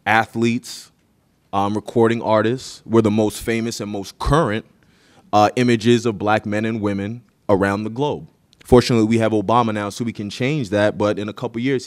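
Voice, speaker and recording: A man on a university panel, speaking in a deliberate, earnest way. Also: fairly low pitch, steady tone. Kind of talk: panel discussion.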